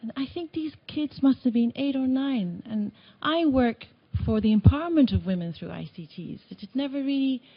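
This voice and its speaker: A woman from Tanzania presenting a report at a meeting, speaking earnestly with some halting restarts, in a meeting room. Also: high voice, lively intonation, dull audio. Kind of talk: conference presentation.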